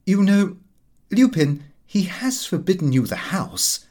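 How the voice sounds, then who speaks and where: A man's voice: high-pitched, very animated, takes it slowly, a little mellow, pitched above the performer's own voice, full character voice. A man playing a pompous, earnest middle-aged clerk and disapproving father in an audiobook. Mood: stern.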